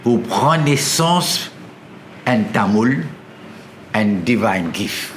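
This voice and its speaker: A man's voice: medium pitch, expressive, extremely slow. A man speaks in a declamatory way, stacking up lists of phrases one after another. His voice rings in a roomy space, with a light hiss underneath.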